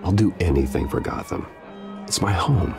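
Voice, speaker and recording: A man's voice: low voice, lively intonation, normal speed, vocal fry. A man acting as a reserved, brooding billionaire heir with a dry, understated delivery in a video game. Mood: dry, composed. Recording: music behind the voice.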